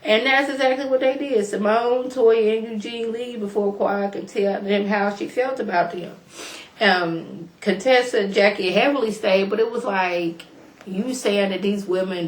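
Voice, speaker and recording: A woman with a lowish voice talks to her viewers in a casual, chatty way, full of slang. She sounds a little hoarse from a cold. The room around her is echoey. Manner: chatty, animated, slangy, addresses viewers directly. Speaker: YouTube reality TV reviewer. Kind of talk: casual recap vlog.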